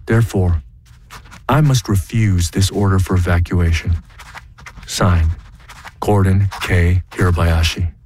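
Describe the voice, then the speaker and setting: A man playing a principled young Japanese American student, narrating his own story in earnest, measured theatrical first person. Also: sits low, fairly even intonation, darkish tone, a little hiss or hum. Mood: resolute. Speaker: narrator and lead in a one-man play.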